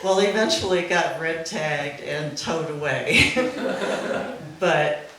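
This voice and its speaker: A woman's voice: sits low, slow, fairly bright tone. A woman speaking at a memorial gathering, telling an informal anecdote in an amused way. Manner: amused, often laughing as she talks. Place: back room at a live gathering. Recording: very echoey room, faint noise.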